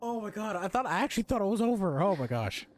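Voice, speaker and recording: A man's voice: sits high, big pitch swings, fast talker. A man streaming a video game and giving casual, live reaction commentary.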